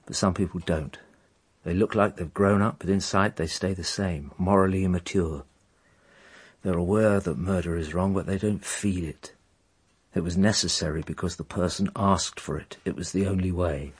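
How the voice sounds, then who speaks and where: An actor playing a world-weary, fatherly police inspector in a radio drama, a middle-aged man with a husky voice and a measured, probing manner. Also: sits low, steady tone. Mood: grave, explaining.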